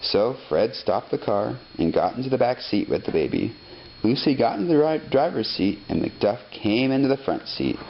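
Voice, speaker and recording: A man narrating a children's story expressively, reading deliberately phrase by phrase. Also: medium pitch, lively intonation, vocal fry. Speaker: storybook reader. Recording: dull lo-fi sound, faint noise.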